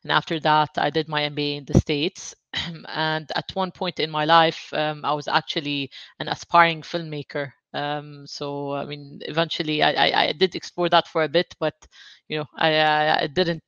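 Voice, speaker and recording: A woman from Jordan, a venture fund director, answering interview questions about her career in an even, level way. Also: deep voice, dry recording, highs cut off.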